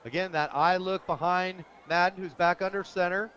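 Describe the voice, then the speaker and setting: A man's voice: high-pitched, steady tone, moderate pace, fairly bright tone, slight background noise. An American man working as a radio play-by-play announcer, giving enthusiastic, descriptive live football commentary outdoors at a stadium. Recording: dull lo-fi outdoor radio broadcast.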